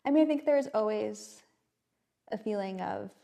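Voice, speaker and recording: A woman with a soft, gently creaky voice talks through her thoughts slowly, circling back with fillers and restarts. She is a playwright guest on a podcast. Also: medium pitch. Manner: hesitant and reflective, many fillers and restarts. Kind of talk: casual podcast conversation.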